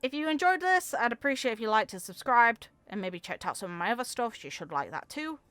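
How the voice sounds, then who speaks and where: Bright-voiced woman giving casual game commentary. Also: a little high, sing-song, fairly quick, clean tone, no room sound. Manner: informal, musing aloud. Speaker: video game content creator.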